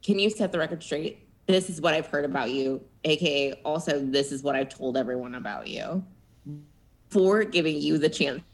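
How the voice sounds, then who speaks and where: A woman co-hosting a podcast in casual chat, animated and giggly, with lively storytelling asides. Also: low voice, normal speed. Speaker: podcast co-host.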